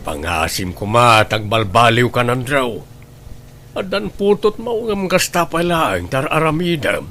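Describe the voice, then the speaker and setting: A man's voice: medium pitch, very animated, a little slow, a bit bright, faint music behind. A man acting a concerned father who admonishes his wayward son in an Ilocano radio drama, with a Filipino Ilocano accent. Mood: admonishing.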